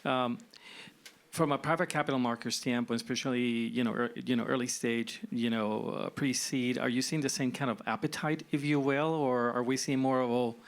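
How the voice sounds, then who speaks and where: A man's voice: medium pitch, steady tone, normal speed. A man asking a panel a question from the audience, friendly and rambling. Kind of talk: question from the floor.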